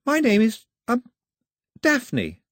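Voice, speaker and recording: A man's voice: very high-pitched, wildly swooping pitch, slow, no echo, clean studio sound, pitched above the performer's own voice, full character voice. A man voicing an anxious, chatty shipwrecked young girl who rambles out apologies.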